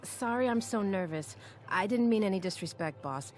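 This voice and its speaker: An actress playing a nervous, deferential doctor among raiders in a video game, speaking hesitantly. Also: female voice, medium pitch, expressive, a little hiss or hum, close to an everyday voice. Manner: hesitant, halting. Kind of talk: video game character dialogue.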